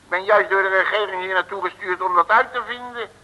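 Wisecracking detective, very bright piercing high voice, colloquial, stammering bluster, old radio drama. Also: male voice, fast. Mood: flustered. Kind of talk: 1960s radio serial acting. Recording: reverberant old radio recording.

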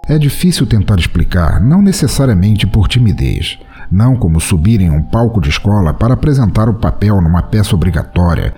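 A man with a Brazilian Portuguese accent, hosting a podcast and reading a short story aloud with theatrical, playful pauses. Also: low voice, mellow. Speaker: podcast host and story reader.

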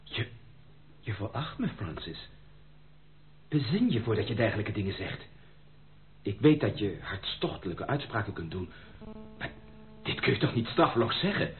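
An actor playing a proud, stubborn gentleman suitor in a Dutch radio play, speaking formally and with dramatic emphasis. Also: fairly low pitch, very animated, normal speed, pauses often, a little hiss or hum. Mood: earnest, affronted. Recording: thin narrow-band radio play recording.

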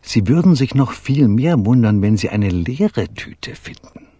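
An actor playing an excitable, greedy conspirator in a radio crime comedy. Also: male voice, mid-range voice, measured pace, breathy edge, dry recording, clean studio sound. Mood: sly, gleeful. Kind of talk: radio drama acting.

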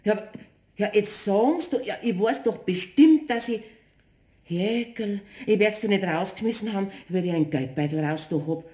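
A woman's voice: medium pitch, normal speed, mellow, lightly acted. A woman playing a chatty, bustling, superstitious neighbour in a radio play, with a Bavarian accent and a rambling, emphatic way of talking. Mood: flustered searching. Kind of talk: German radio play acting.

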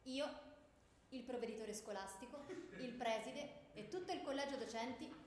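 Stern schoolmistress played by an actress, high and declamatory, sardonic, comic radio theatre; strong echo, noisy recording. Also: female voice, normal speed, lightly acted. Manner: peremptory, declamatory. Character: stern, sardonic schoolteacher quizzing pupils on Greek myth. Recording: echoey stage with loud background noise.

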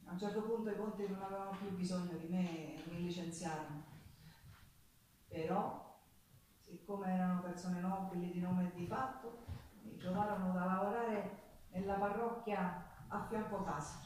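An actress playing a poor, resilient Sicilian ex-prisoner who tells her story in a theatrical monologue, with a Sicilian accent and a slow, dramatic delivery. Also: fairly low pitch, steady tone, a little mellow, slight background noise, close to an everyday voice. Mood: plain, resolute. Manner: slow, dramatic, pausing, repeating phrases. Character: Sicilian woman, poor ex-prisoner singer, proud, wounded, resilient. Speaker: stage actress. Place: theatre stage, live performance. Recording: echoey theatre, distant microphone.

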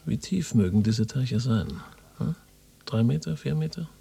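A man's voice: mid-range voice, animated, unhurried, gravelly, warm tone, husky, heavily acted. An actor playing a driven, insistent male radio reporter with a sardonic manner, in a naturalistic radio drama. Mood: goading.

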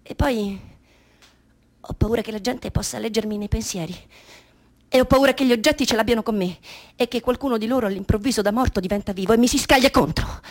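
A woman's voice: on the high side, fairly quick, natural voice. An actress playing a troubled thirteen-year-old girl confessing her fears in a theatrical radio drama monologue. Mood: fearful.

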